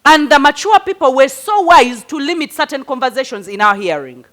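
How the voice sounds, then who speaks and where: A middle-aged woman, not a native English speaker, giving conversational advice to a group in an animated, storytelling way with rhetorical questions. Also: sits high, a little fast. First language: a local language, not English. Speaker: speaker answering parenting questions.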